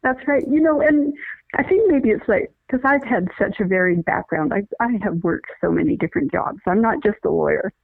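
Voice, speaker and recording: A woman's voice: medium pitch, expressive, a little fast, gravelly, no echo. A middle-aged woman, a lawyer and guest, explaining things earnestly in a conversational interview with frequent restarts. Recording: tinny phone-line sound, dry.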